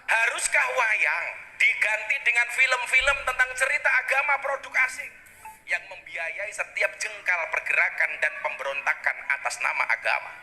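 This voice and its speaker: An Indonesian man with a very high, sharply bright voice declaims verse theatrically and accusingly. The sound is reverberant, with faint music behind him. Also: lively intonation, moderate pace. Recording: played-back clip, reverberant, faint music.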